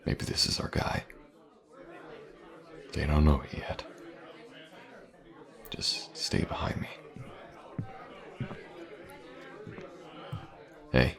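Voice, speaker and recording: An actor playing a gruff, wary, self-assured mercenary swordsman in a fantasy audio roleplay, speaking in a dry, terse way. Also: extremely low pitch, flat delivery, slow, pauses often, a crowd in the background, heavily acted. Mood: watchful.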